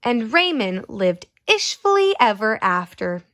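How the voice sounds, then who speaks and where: A woman reading a picture book aloud as a teacher, in a deliberate, expressive way meant for young children. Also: on the high side, no echo, clean studio sound. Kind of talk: expressive storybook read-aloud with questions to children.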